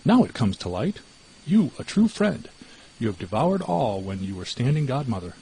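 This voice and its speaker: A man's voice: mid-range voice, big pitch swings, normal speed, warm tone, breathy edge, heavily acted, poor audio. A man voicing a small, trusting female mouse in a storybook, earnest, wondering and given to exclaiming. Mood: dismayed. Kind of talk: storybook character voice.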